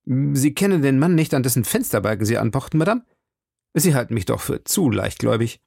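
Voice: medium pitch, animated, brisk, creaky, a little mellow, no room sound, studio quality, voice pushed up. A narrator playing an ardent, insistent gallant in his late teens, speaking eagerly and formally in an audiobook. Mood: insistent, irritated. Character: ardent, insistent young gallant man, not yet twenty. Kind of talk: audiobook character dialogue.

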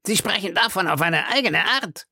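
A reader voicing a scheming male police detective who speaks in a low, confiding, persuasive way. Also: expressive, normal speed, bright, forceful tone, dry recording, clean studio sound, exaggerated voice.

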